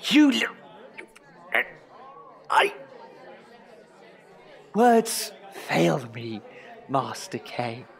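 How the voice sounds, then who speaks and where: An anxious Tudor courtier in a comic audio drama. He is exasperated and theatrical, stretching words slowly for emphasis, with a widely swooping pitch. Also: heavily acted.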